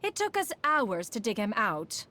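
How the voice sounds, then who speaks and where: A woman's voice: medium pitch, sing-song, a little slow, pushed and bright. A woman playing a proud, refined female immortal in a video game, speaking in a formal, stately manner, aloof yet fond beneath it. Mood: wry reminiscence. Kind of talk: scripted video game character monologue.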